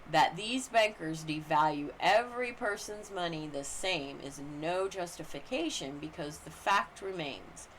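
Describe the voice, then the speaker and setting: A woman reads legal commentary aloud in a deliberate, formal manner. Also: low voice, a bit bright, slight background noise, low-quality recording. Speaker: audiobook-style reader.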